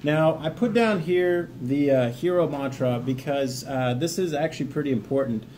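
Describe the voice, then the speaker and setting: A man's voice: a little high, moderate pace, darkish tone, a little hiss or hum. A man giving informal, encouraging instructions to viewers, partly from notes, in a plainspoken way. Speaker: veteran hosting a self-help video series. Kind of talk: instructional talk to camera, reading from notes.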